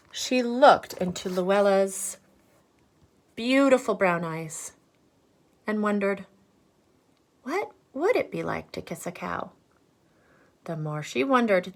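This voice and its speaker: A woman reads a children's story aloud at a slow, careful pace. She is expressive and teacherly, often stepping out of the text to chat with her listeners. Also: medium pitch. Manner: animated, teacherly, pauses for questions. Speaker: storyteller reading a picture book aloud.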